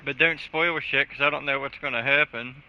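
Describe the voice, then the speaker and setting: A man's voice: medium pitch, fairly even intonation, brisk. A man streaming a video game, chatting casually in a blunt, joking and sweary way. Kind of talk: casual gaming chat. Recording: dull lo-fi stream audio, faint music.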